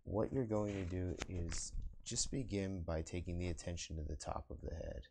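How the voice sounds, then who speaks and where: Male meditation teacher: deep, gravelly, gently encouraging, unhurried delivery in short phrases. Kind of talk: guided meditation.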